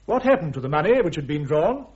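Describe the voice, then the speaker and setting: Lively, precise British army prosecutor. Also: male voice, fairly high pitch, fast. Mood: brisk, probing. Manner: formal, methodical questioning. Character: brisk, precise army major prosecuting at a court-martial. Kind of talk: full-cast radio drama acting. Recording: dull, muffled radio recording.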